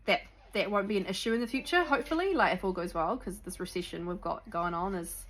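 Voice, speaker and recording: A woman's voice: mid-range voice, fast talker, fairly bright tone. A young woman from New Zealand with a Kiwi accent, chatting casually to camera with lots of ums and likes. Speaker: vlogger. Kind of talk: sit-down chat to camera.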